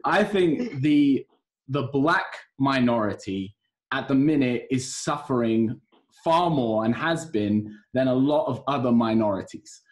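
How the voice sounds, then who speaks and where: A man co-hosting a podcast, speaking in a measured, thoughtful way with frequent hesitations. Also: medium pitch. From United Kingdom. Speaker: podcast co-host. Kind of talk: podcast conversation.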